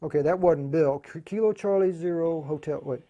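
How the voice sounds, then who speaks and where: A man with a slightly high voice is hosting a hands-on tutorial. He explains things informally, stopping and starting as he thinks aloud, like a hobbyist radio operator showing the steps. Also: moderate pace, dark tone. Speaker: livestream tutorial host, amateur radio operator. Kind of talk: casual hands-on demonstration.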